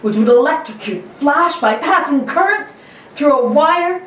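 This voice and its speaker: Woman reading old radio narration, slow and amused, far roomy mic. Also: sits high, lively intonation. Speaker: podcast panelist.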